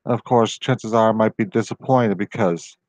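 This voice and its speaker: A man's voice: fairly low pitch, moderate pace, darkish tone, dry recording. Rambling man venting in a dry room. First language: not Japanese. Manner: rambling, exasperated, goes on tangents. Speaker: video host. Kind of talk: casual solo commentary.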